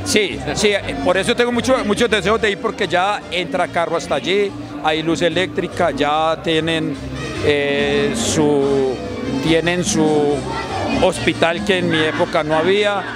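A man's voice: fairly high pitch, unhurried. A middle-aged man from Antioquia, Colombia, with an Antioquian Colombian accent, chatting earnestly about his music career in an outdoor street interview. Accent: Colombian Spanish, Antioquia. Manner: earnest, chatty, with frequent restarts. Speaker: singer and songwriter. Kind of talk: casual interview chat. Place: outdoors at a busy urban gathering. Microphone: handheld mic held by interviewer. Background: crowd chatter and loud music.

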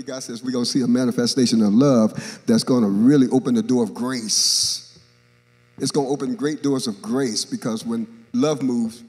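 An older man, a bishop, preaching a sermon on a church stage into a handheld mic, acting out Bible characters and joking with the congregation. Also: mid-range voice. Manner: animated, acts out Bible characters, jokes with the congregation. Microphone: handheld microphone. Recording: long reverb of a large church hall.

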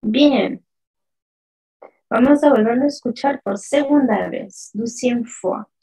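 Slow, gravelly-voiced woman teacher. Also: on the high side, mellow, poor audio. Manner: slow, drawn-out pronunciation drills; asks the chat questions and praises answers. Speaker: French teacher. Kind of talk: live online lesson.

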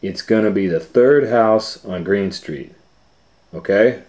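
A man's voice: on the low side, takes it slowly, a little mellow. Guy reading as friendly young cartoon gal, coaxing, plain talk. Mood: instructing. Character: friendly persuasive young cartoon woman, gang member.

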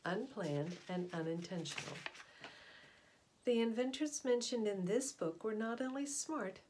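A middle-aged woman reads a children's nonfiction book aloud with deliberate, expressive emphasis and explanatory asides, recorded indoors on a device at about arm's length. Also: on the low side, slight background noise. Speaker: read-aloud storyteller. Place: small indoor room at home, in front of wooden doors. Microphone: camera or device mic at about arm's length.

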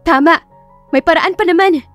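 A woman's voice: extremely high pitch, normal speed, fairly bright tone, faint music behind, pitched above the performer's own voice. A woman voicing an anxious, pleading twenty-five-year-old daughter, stubborn and romantic, in an emotive audio drama style. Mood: anxious, excited.

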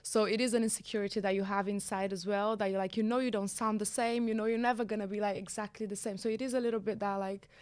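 A woman's voice: mid-range voice, flat delivery, fast talker, hardly any pauses, darkish tone, no room sound. A young woman from Brazil with a Brazilian Portuguese accent, speaking candidly as a podcast guest and using many fillers. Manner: frequent "like" fillers, candid and reflective. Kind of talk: casual podcast interview. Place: small studio with sofa and purple lighting. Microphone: studio boom mic close up.

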